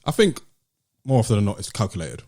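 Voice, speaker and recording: British man, lowish pitch, dark relaxed tone, podcast host, casual debate, emphatic repetition. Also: quick pace, husky. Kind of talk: casual debate about music.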